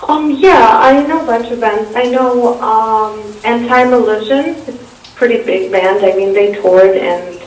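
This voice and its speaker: A woman answers interview questions, relaxed and chatty, with plenty of ums. Her voice sits a little high. She is set back from the mic in an echoey room with a faint hiss behind her. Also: unhurried, far from the mic. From Russia. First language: Russian. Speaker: interviewee, musician.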